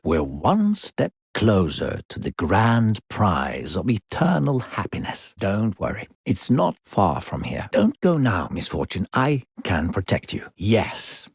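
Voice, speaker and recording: A man voicing an unseen, coaxing game narrator with a gravelly, husky voice and a patronizing, falsely kindly, theatrical manner. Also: deep voice, dry recording, studio quality, exaggerated voice. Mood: falsely kind, protective. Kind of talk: theatrical video game narration addressed to a child.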